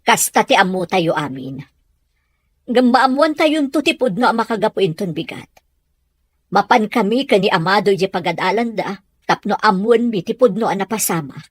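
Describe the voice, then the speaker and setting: Woman playing animated, husky-voiced worried mother. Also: mid-range voice, moderate pace, dry recording. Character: worried, indignant mother and mistress of the household. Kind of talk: amateur audio drama acting.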